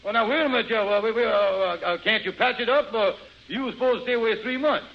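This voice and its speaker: An actor playing a scheming, flustered husband in a radio sitcom, stammering and wheedling in folksy, ungrammatical speech. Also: male voice, high-pitched, fast talker, gravelly. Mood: flustered, stalling. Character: scheming, flustered married man running a rest home. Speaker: lead comic actor. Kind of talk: 1940s radio sitcom acting. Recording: muffled, noisy old radio recording.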